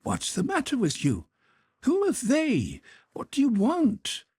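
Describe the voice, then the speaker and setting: A woman's voice: a little high, huge pitch swings, slow, mellow, slightly rough, no echo, clean studio sound, pitched above the performer's own voice, heavily acted. A man reading an audiobook, voicing a proud older countess with a flustered, defensive manner. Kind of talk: audiobook character voice.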